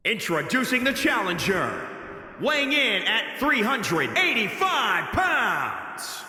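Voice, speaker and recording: A grandiose wrestling ring announcer for a video game. He is piercingly bright and slow, stretching words out. Long arena reverb trails his projected voice. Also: sits high, huge pitch swings, full character voice. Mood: grand, formal.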